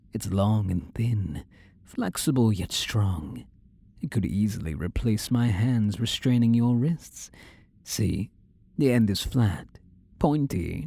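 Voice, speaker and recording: A man performs a possessive demon lover speaking intimately to his beloved in an audio roleplay. His slow, commanding delivery swings widely in pitch and sometimes drops to a whisper. The voice is dark and mellow, with a sinister, seductive purr, recorded close and dry. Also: vocal fry, husky, no room sound, heavily acted. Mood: teasing, seductive.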